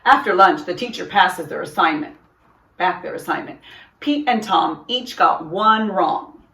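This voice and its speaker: Slow, expressive woman reading in a roomy space. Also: lowish voice, gravelly. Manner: expressive, deliberate, puts on character dialogue. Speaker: storytime host, reader. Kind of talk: children's picture book read-aloud. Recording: roomy echo, distant microphone.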